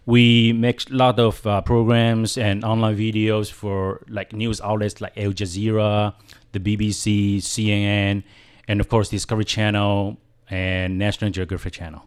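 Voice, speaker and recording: A man in his thirties from Taiwan with a Taiwanese accent, a native Chinese speaker, talking haltingly as a guest in a radio studio interview. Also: on the low side, level tone, clean tone. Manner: halting, with restarts and fillers. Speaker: guest filmmaker. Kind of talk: casual interview.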